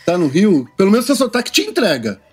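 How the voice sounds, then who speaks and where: A man's voice: high voice, big pitch swings, fast, breathy edge. A man hosting a casual video call interview, asking friendly, rambling questions. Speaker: interview host.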